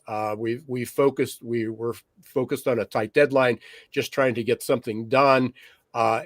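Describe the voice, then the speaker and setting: A man co-hosting a podcast, a little raspy today, talking loosely with many fillers and restarts. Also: medium pitch, no room sound. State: slightly raspy from smoky air. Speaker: podcast co-host. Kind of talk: conversational podcast monologue.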